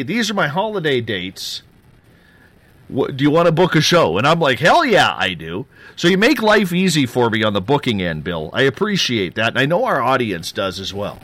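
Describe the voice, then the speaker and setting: Energetic male radio show host. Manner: energetic promo patter, drops low and slow for serious parts. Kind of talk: live radio show intro and chat.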